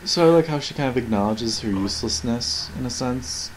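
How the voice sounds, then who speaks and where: A man's voice: mid-range voice, expressive, a little fast, a little hiss or hum, rough recording. A man giving casual, rambling, critical off-the-cuff commentary on video game cutscenes. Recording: game soundtrack playing behind. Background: video game cutscene audio and music.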